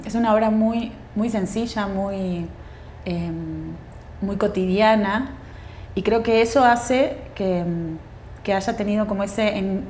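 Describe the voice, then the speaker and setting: A woman in her forties with a Uruguayan Spanish accent, a guest actress speaking thoughtfully in an interview, pausing often with fillers and restarts. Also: medium pitch, darkish tone, echoey room, a little hiss or hum. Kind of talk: reflective interview conversation.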